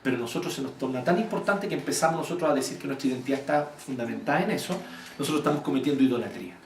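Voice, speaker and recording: A Chilean man giving an informal church teaching talk, blunt and animated, quizzing the audience as he goes. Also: mid-range voice, racing, slight background noise. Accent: Chilean Spanish. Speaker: church speaker teaching volunteers. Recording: echoey room, voice from far off.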